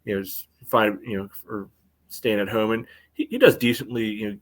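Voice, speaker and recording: A man with a fairly low voice gives casual sports analysis as a podcast co-host. He talks in a halting, rambling way, circling through his thoughts with many fillers. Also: fairly even intonation. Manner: halting, rambling, very frequent "you know".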